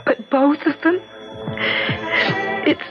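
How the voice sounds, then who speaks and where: A grieving woman in vintage radio drama. Her delivery is slow, halting and emotional. The recording is slightly dull, with music underneath. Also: high voice. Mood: stricken, resigned. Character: grieving woman, trying to accept a tragic loss. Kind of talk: old-time radio drama acting.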